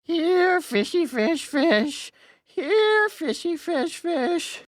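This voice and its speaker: A woman's voice: very high voice, very animated, very slow, no echo, voice pushed up, heavily acted. A woman voicing a sadistic, taunting catfish puppet in an audiobook, with a mocking, folksy drawl. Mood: mock coaxing. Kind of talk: audiobook character voice.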